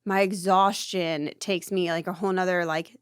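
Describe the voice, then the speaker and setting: A woman's voice: fairly low pitch, steady tone, moderate pace, clear, resonant tone, no echo. Chatty laughing woman, dry sound. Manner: chatty, laughs easily, rambling with many "like"s. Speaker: podcast co-host, mother. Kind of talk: casual chat.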